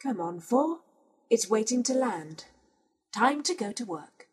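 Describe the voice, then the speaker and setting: A man voicing a timid, nervous female android who speaks in a formal, apologetic way, in a raised, breathy voice. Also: sits high, expressive, a little slow, pitched above the performer's own voice, exaggerated voice.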